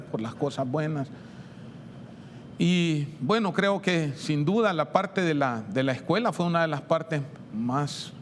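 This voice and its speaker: Higher-voiced Salvadoran man, halting delivery. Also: a little hiss or hum. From San Salvador, El Salvador. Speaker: guest giving a personal testimony. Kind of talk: informal personal testimony on a TV program.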